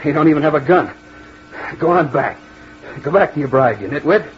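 A naive, anxious young bridegroom played by a male actor in an old radio play. His high voice swings widely as he hesitates and pleads. The recording is dull, with some noise. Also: expressive, fairly quick, lightly acted. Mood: nervous, hesitant. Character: naive, timid young bridegroom in mortal peril. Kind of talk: 1940s radio acting. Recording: muffled, noisy old radio broadcast.

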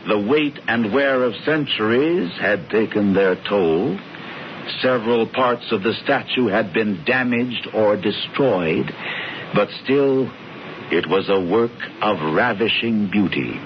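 A man's voice: fairly low pitch, slow. An actor playing a pompous, theatrical schemer reading the paper aloud to his brother in a 1970s radio drama. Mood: scheming. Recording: dull 1970s radio, faint hiss.

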